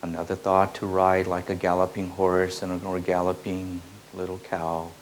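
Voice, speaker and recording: A man with a very low voice gives a spiritual teaching, repeating his phrases slowly like an incantation. His pitch barely moves. A faint hiss from an old tape sits under the voice. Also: completely flat delivery. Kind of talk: slow meditative spiritual teaching.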